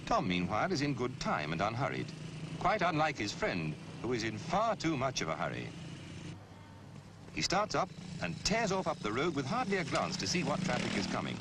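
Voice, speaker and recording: A British man giving an instructive, measured narration in the style of a 1960s public information film, with clear phrasing. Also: mid-range voice, wildly swooping pitch. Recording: noisy 1960s film soundtrack.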